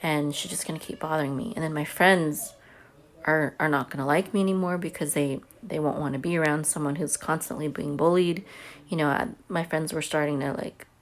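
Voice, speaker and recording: A woman's voice: low voice, fairly even intonation, fairly quick. A homeschooling mother telling a personal story to camera in a chatty, earnest way. She says she has a big voice.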